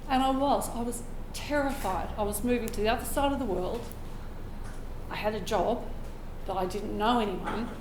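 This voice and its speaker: A woman with an Australian accent, croaky from a cold, telling an earnest personal story to a live audience and clearing her throat now and then. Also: a little high, moderate pace, roomy sound, noisy background. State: hoarse from a cold. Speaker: open mic storyteller.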